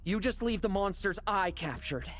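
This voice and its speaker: A man's voice: high-pitched, lively intonation, normal speed, fairly bright tone. Cool, brooding young male knight. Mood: composed, sardonic. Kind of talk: dramatic game voice acting. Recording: music behind the voice.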